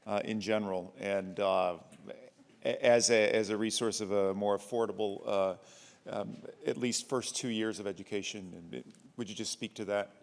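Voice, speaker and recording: A man in the audience asks his question haltingly, pausing often. His voice is low. The hall around him rings with a long reverb. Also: steady tone, creaky. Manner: hesitant, many "uh" fillers. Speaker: audience member asking a question. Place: university lecture hall.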